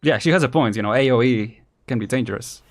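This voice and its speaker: A man's voice: medium pitch, quick pace. Casual, rambling male game streamer. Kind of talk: casual live commentary on a video game. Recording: game music behind.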